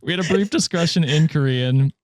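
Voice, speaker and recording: A man's voice: fairly high pitch, a little fast, dry recording, rough recording. An American man hosting a podcast, reading Reddit stories aloud and chatting casually, laughing often. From United States. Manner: laughs often, relaxed storytelling with fillers. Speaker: podcast host.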